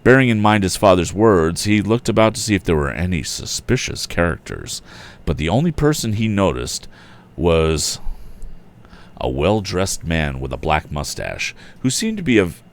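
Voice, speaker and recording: A man hosting a casual story read-aloud, informal and a bit halting, slipping between narration and chatty asides. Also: deep voice, very animated. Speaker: storybook reader and host.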